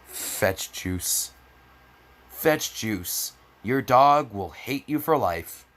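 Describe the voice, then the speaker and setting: A man's voice: mid-range voice, lively intonation, extremely slow, fairly bright tone. A man giving a mocking dramatic reading, breaking off into crude, exasperated, sarcastic commentary. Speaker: comedic fan fiction reader.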